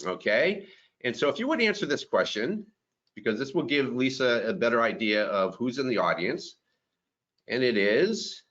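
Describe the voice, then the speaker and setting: A man's voice: on the low side, fairly bright tone, dull audio. A man in his fifties hosting a career webinar, upbeat and friendly as he runs polls and moderates. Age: 50s. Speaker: webinar host.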